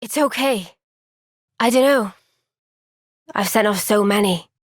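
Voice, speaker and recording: A woman playing an earnest, gentle young soldier heroine in a fantasy video game, soft-spoken, sincere and thoughtful. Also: mid-range voice, slow, dry recording, clean studio sound. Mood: wistful, earnest. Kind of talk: video game cutscene voice acting.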